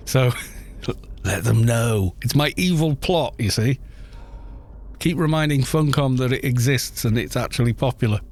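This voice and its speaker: A male game streamer talks in a mid-pitched voice, casually rambling to his viewers. His delivery is loose and conversational, with a self-deprecating streak. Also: animated, measured pace, long pauses, darkish tone. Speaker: game livestreamer. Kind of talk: casual livestream chat.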